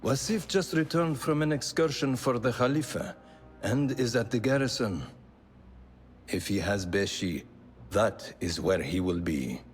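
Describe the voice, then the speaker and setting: An actor playing a grave secret-order leader in a video game, measured and authoritative, with a husky voice. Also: male voice, medium pitch, soft background music, lightly acted. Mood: grave, commanding. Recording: long hall reverb.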